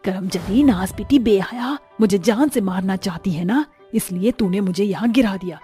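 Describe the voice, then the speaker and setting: A woman voicing a harsh middle-aged mother-in-law who curses and scolds her new daughter-in-law in a slow, drawn-out way, over faint music. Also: medium pitch, dark tone, natural voice. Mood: wailing. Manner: slow, drawn-out, cursing and accusing.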